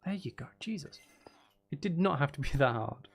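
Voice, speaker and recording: A man's voice: on the high side, expressive, normal speed, vocal fry. A man giving casual live gameplay commentary, chattily thinking aloud and muttering to himself with mild exasperation. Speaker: gaming streamer. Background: background music.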